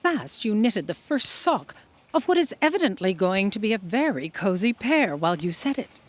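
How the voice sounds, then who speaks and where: Woman playing an imperious, animated lady boss. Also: medium pitch, moderate pace. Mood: dismissive. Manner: pompous, commanding. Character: imperious, impatient female boss, coffee-craving and dismissive.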